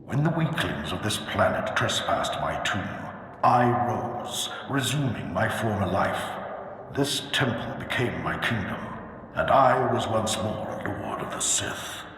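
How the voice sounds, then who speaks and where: An actor playing the ancient ghost of a proud, brooding Sith lord in a video game, speaking in a solemn, archaic, imperious manner. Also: male voice, fairly low pitch, expressive, unhurried, a bit bright. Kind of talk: theatrical video game voice acting. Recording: echoing hall reverb, faint noise.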